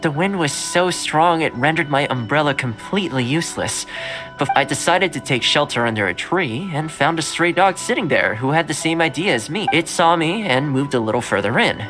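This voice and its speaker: Game role: kind young manservant played by a man, gentle and talkative, very animated intonation, music underneath. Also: a little high, normal speed, natural voice, rough recording. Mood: reminiscing, wry. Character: kind, chatty young male servant fond of stray animals. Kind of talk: video game voice acting, storytelling dialogue.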